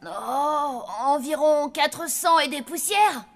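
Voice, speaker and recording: A woman's voice: sits high, slow. A woman voicing an awkward, mischievous young zombie boy in a cartoon dub. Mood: curious, cheeky.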